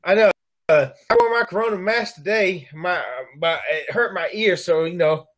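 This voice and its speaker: Middle-aged man, from the United States, high voice, webcam livestream, chatty, thanks viewers. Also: normal speed, a bit bright. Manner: chatty, thanks viewers for likes between remarks. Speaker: livestream host. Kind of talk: casual live broadcast chat. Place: bedroom at night, indoors.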